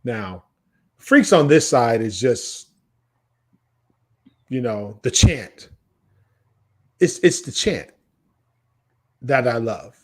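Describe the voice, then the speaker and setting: American man, mid-pitched voice, casual podcast co-host, music talk, repetitive phrasing. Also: very animated, very slow, long pauses. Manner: repeats his phrases.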